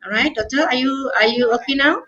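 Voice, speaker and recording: Woman hosting an online webinar on a video call, kinda high voice, lots of "right?" and "yeah?", muffled audio. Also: fairly quick, fairly bright tone. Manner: filler-heavy, often ends with "yeah?" and "right?". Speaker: webinar moderator.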